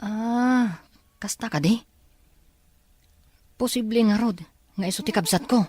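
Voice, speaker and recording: A man's voice: high voice, slow, a little mellow, dry recording. A man with a Filipino accent acting an earnest, respectful young man in an audio drama. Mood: respectful, concerned. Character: earnest young man searching for his twin, respectful son.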